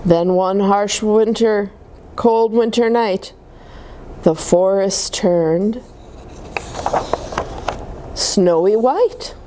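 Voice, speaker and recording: A woman voicing a small, gentle young tree in a children's storybook, telling its life in wistful, halting phrases. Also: medium pitch, a little hiss or hum.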